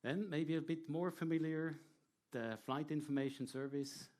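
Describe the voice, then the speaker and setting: A man presenting a technical talk to an audience in a measured, explanatory way, with short hesitant pauses. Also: a little high, fairly even intonation, darkish tone. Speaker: air traffic control presenter.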